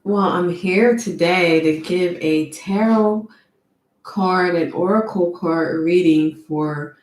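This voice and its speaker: A woman giving a tarot reading who talks slowly and deliberately, sniffling from allergies, and calls on spirits in a measured, invocation-like way. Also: lowish voice, roomy sound. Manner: slow, soft and deliberate, with an invocation-like cadence. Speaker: tarot card reader.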